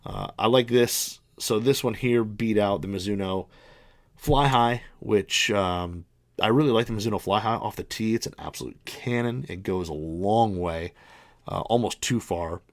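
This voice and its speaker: A man's voice: lowish voice, normal speed, dry recording, clean studio sound. A man in his early forties hosting a podcast, talking casually and rambling through his equipment with many fillers and restarts. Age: 40s. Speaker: podcast host reviewing golf clubs. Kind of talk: casual monologue.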